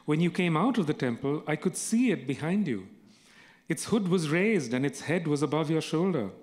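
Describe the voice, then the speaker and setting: A man voicing a wary, secretive teenage caretaker from the countryside, speaking in a hushed, earnest way. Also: on the high side, expressive, moderate pace, close to an everyday voice.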